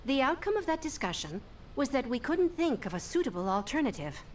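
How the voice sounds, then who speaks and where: A brisk, practical young secretary for a video game. Her voice is high and lively, and it jumps around as she talks. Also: slight background noise.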